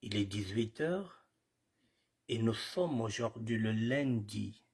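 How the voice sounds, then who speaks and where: A man's voice: on the low side, expressive, takes it slowly, darkish tone, husky. A man with a cold hosting a live commentary show, greeting viewers formally and repeating himself, with Lingala phrases mixed in. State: has a cold. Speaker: online show host.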